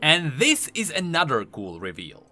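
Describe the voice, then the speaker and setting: Bright, very animated male game lore commentator. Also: fairly high pitch, moderate pace. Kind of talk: explanatory commentary voice-over. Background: faint music.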